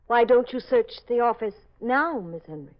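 A woman playing an anxious but stubborn young woman in a 1940s radio mystery, nervous and halting. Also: fairly high pitch, animated, lightly acted. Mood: uneasy. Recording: dull old radio broadcast recording.

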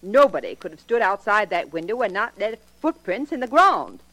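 A nervy wife in an old radio mystery. Her delivery swings widely in pitch as she probes and presses, dramatic and insistent in every phrase. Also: big pitch swings, moderate pace, fairly bright tone, no room sound, poor audio. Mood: accusing, insistent. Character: murderer's anxious, probing, admiring wife. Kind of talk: 1940s radio crime drama acting.

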